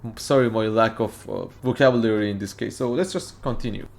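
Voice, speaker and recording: A man whose first language is Farsi, hosting a casual reaction video and arguing his point in a rambling, excitable way with many fillers. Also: lowish voice, brisk, gravelly.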